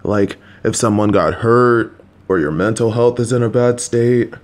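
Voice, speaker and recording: A man voicing a kind, worried, clueless father who talks to his child in a gentle, patient, anxious, rambling way. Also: medium pitch, fairly even intonation, a little slow, natural voice. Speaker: voice actor. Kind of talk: audio roleplay for a listener.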